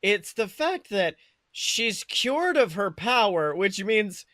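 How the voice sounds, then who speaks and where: A man co-hosting a casual movie review chat, talking in an excitable, slangy way with casual swearing. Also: sits high, slow, dry recording, studio quality. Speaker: podcast co-host.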